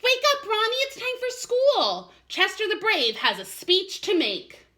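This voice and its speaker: Storybook raccoon child, male, small and timid yet eager, high-pitched and bright played by a woman. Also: wildly swooping pitch, unhurried, heavily acted. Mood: excited, triumphant. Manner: whimpering when afraid, eager when brave. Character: small timid young boy raccoon, excitable and earnest.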